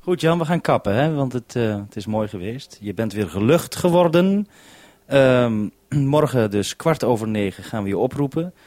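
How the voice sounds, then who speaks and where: A man's voice: mid-range voice, lively intonation, moderate pace, a little mellow, dry recording. A man from the Netherlands hosting a two-way radio conversation, handing back with "over" as he goes. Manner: says "over" to hand back. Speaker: radio host.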